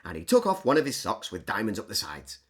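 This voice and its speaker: A woman reading a children's storybook aloud as an expressive narrator. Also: a bass voice, quick pace, breathy edge. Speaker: storybook reader.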